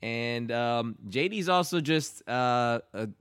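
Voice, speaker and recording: A man hosting a casual podcast interview, relaxed and friendly, with plenty of fillers as he introduces his guest. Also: medium pitch, slow pace, clean tone, no echo, studio quality. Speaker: podcast host.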